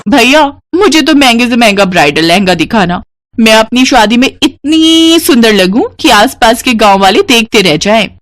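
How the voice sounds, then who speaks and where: A woman's voice: a little high, lively intonation, moderate pace, fairly bright tone. A woman voicing a haughty, bossy young rich woman in a moral story, imperious and belittling. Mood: demanding, vain.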